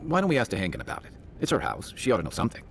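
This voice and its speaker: A man's voice: fairly low pitch, huge pitch swings, very fast, creaky, a little hiss or hum, full character voice. A man playing a wry, observant adventuring companion in a video game, speaking conversationally. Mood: wry, curious.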